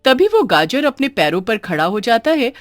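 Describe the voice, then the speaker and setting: Animated woman storyteller, dry recording. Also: fairly high pitch, moderate pace, no echo. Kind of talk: scripted children's story narration.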